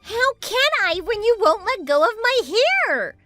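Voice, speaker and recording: A woman acts out a spoiled young sister-in-law in a story drama. She is haughty and whiny, pitched high, and makes her demands with open scorn over faint background music. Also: moderate pace, close to an everyday voice. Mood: pained, panicked. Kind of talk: dramatized story narration acting.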